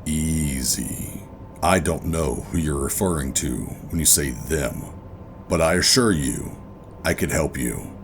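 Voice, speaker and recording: An actor playing a hardened, wary operative who narrates a sci-fi horror audio drama in a brooding, deliberate, terse way. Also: male voice, very deep voice, slight background noise. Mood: firm, calming. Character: hardened covert operative narrating, adult man, wary and steady. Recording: music behind the voice.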